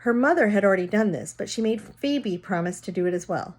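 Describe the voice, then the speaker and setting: A woman's voice: mid-range voice, expressive, a little fast, darkish tone. A woman reading a children's story aloud, narrating and casually explaining words as she goes.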